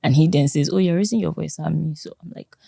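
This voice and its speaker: A young Nigerian woman with a Nigerian accent and a strikingly low, dark voice. She talks loudly and expressively as an interview guest. The sound is dry and close. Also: fast, creaky, husky, no echo. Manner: loud, expressive, laughs often. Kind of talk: conversational interview.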